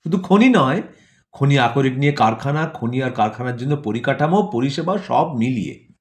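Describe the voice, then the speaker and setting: A man tells a scripted satirical story. His delivery is rhetorical and measured, built on repeated phrases and short lists. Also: mid-range voice, animated. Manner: rhetorical, measured phrasing with repetition and listed words. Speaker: narrator of an audio play.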